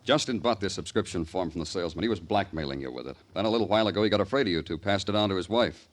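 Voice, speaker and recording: Dogged 1950s radio homicide cop male actor: low-pitched, rapid, dry and insistent. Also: natural voice. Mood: dry, pressing. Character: tough, dogged male New York homicide detective. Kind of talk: 1950s radio crime acting.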